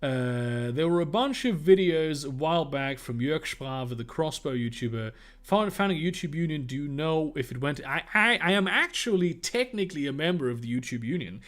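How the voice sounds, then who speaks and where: A man's voice: a little high, animated, normal speed, hardly any pauses, a bit bright. A young German man reading viewer questions aloud on a livestream and answering them in casual, rambling, profane chat.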